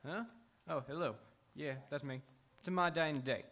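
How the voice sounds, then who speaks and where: A man's voice: fairly high pitch, normal speed, a bit bright, a little hiss or hum. A man playing a casual, chatty bowling alley keeper in a live radio drama.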